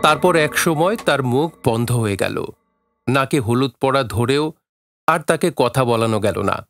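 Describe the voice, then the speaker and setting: A man's voice: medium pitch, expressive, normal speed. A man narrating a scripted dramatic story as the narrator of an audio play. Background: faint music.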